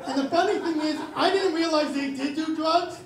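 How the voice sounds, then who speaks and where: Raspy male stand-up, extremely high voice, self-deprecating deadpan, roomy club with a laughing crowd. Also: normal speed. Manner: self-mocking deadpan delivery. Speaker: stand-up comedian. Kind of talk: stand-up comedy set. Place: comedy club stage. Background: audience laughter.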